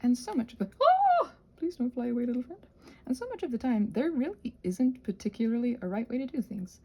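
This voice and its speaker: A woman giving a casual, rambling craft tutorial, chatty and thinking aloud as she interrupts herself with gentle reassurances. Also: fairly high pitch, very animated, fairly quick, husky, highs cut off. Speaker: craft and ASMR channel creator, host.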